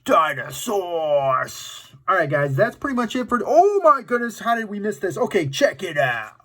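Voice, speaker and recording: A man's voice: high-pitched, sing-song, normal speed, fairly bright tone. A man hosting a children's story time, reading aloud in an enthusiastic, playful and dramatic way with casual asides to his young viewers.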